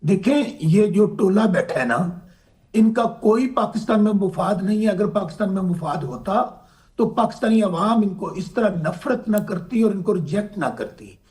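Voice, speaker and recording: A hoarse man from Pakistan, a political guest arguing insistently in a heated talk-show debate and pressing to be heard. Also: high-pitched, expressive, moderate pace, darkish tone. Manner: insistent, keeps asking to be heard.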